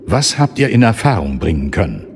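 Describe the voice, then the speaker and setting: Stern low-voiced Jedi master. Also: male voice, very animated, brisk, creaky, noisy background, heavily acted. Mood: stern, resolute. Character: serious, resolute male Jedi master in council. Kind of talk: radio drama acting.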